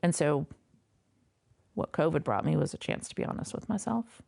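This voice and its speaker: A woman guest sharing a personal story in a reflective, unhurried way, with frequent fillers. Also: low-pitched, animated, creaky, darkish tone. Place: a home.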